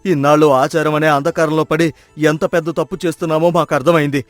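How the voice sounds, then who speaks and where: Man playing a village elder, higher-pitched, emphatic. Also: little pitch movement, fast, no room sound, soft background music, close to an everyday voice. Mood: remorseful. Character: stern, domineering male village elder. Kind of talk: dramatised moral story.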